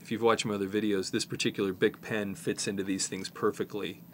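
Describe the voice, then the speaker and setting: A man in his fifties with a fairly low voice and a slight head cold talks through a hands-on job. He is informal and halting, explaining as he works, with noticeable background noise around him. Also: little pitch movement, fairly bright tone. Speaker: presenter, tape repair technician. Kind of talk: casual hands-on tutorial.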